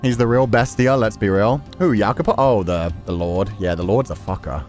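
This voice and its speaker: A man's voice: fairly low pitch, moderate pace, darkish tone, faint music behind. A man giving casual, candid game commentary, reacting as he goes and swearing freely. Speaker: streamer playing a visual novel. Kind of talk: casual game commentary with read-aloud text.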